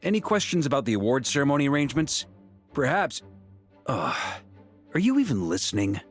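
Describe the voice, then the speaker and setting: A man voicing an exasperated middle-aged grandmaster of knights in a video game, gruff but kindly and mock-stern. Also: mid-range voice, a little fast, faint music behind, lightly acted. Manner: expressive, mock-stern, sighing.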